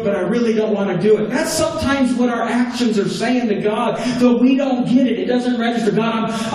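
A man's voice: very high voice, a little mellow. A man preaching a sermon as pastor in a small church, earnest and repeating key phrases for emphasis, recorded from a camera at the back. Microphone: far camera at back of room. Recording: echoey room, distant camera, faint hum.

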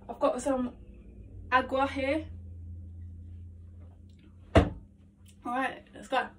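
Young woman, congested and nasal, chatty self-talk, a bit high, filmed from across a bedroom with faint noise. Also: fairly bright tone. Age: young adult. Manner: chatty, self-talking, lots of ums. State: congested, nasal from hay fever. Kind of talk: casual vocal warm-up vlog. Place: small attic bedroom at home. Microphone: phone camera across the room. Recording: faint room noise, distant from phone.

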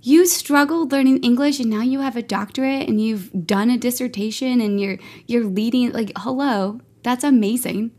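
A woman in her forties hosting a music education podcast, enthusiastic and quick to cheer her guest on over a video call. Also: on the high side, warm tone. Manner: enthusiastic, effusive, laughs easily. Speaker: podcast host. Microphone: earbuds on a video call.

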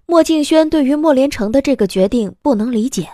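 A woman reading scripted audiobook narration as an expressive storyteller. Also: high voice, a little fast, no room sound, clean studio sound.

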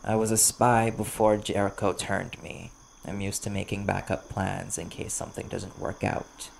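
A man voicing a wary, desperate vampire bounty hunter in an audio roleplay, blunt and guarded, with a gravelly voice. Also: on the low side, flat delivery, moderate pace. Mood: wary, resolute. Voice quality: gravelly, creaky. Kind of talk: ASMR audio roleplay acting. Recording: clear background noise, chirping crickets.

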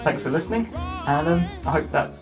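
A man's voice: on the high side, lively intonation, fairly quick, music in the background. A middle-aged man giving a casual instructional talk to camera as a musician in a small home studio. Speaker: musician and songwriter presenting.

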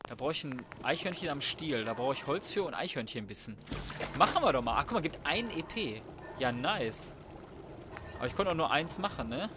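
A man's voice: a little high, very animated, fairly quick, music in the background. A man giving casual, slangy and crude commentary on a video game, talking to himself as he plays. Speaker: let's play gamer.

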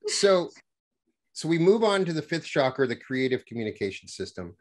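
A middle-aged man hosting an interview over a video call from his home office, wearing a headset and talking in a halting way with many restarts. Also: on the high side, very animated. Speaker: podcast host. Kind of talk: conversational interview.

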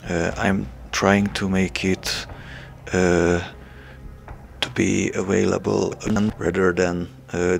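A young man from Hungary with a Hungarian accent, making a hesitant, casual announcement to his channel's viewers, pausing often with fillers. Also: low voice, vocal fry, slight background noise. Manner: hesitant, many filler pauses. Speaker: channel creator.

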